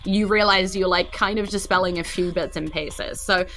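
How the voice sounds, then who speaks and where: A woman's voice: lowish voice, steady tone, moderate pace, sharp tone, rough recording. New woman running a tabletop game. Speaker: game master. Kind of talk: improvised tabletop game narration.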